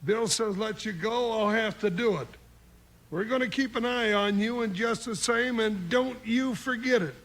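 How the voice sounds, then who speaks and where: An actor playing a big, excitable comic cowboy sidekick with a folksy Western drawl in a 1950s radio western. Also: male voice, high-pitched, normal speed. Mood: blustering. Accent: American Western drawl. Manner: blustery folksy exclaiming. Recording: noisy old radio recording.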